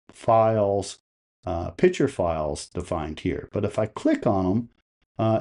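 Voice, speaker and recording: A man's voice: lowish voice, warm tone. A man hosting an instructional how-to video, explaining step by step and posing questions to the listener. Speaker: host of a how-to video on holiday light displays. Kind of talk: instructional tutorial narration.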